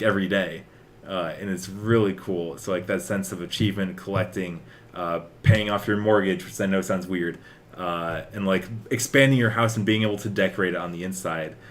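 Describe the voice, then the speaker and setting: A young man with a deep voice talks casually as a podcast co-host. He rambles along with plenty of enthusiasm, over a faint layer of background noise. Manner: enthusiastic, rambling, frequently chuckling. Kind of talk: casual chat about video games.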